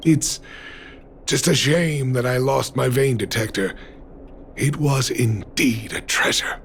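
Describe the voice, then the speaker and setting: Tired gruff miner dad in a video game, creaky voice, bit of noise behind. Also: male voice, mid-range voice, slow. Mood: weary, resigned. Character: world-weary middle-aged miner, gruff selfless father. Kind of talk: dramatic video game dialogue.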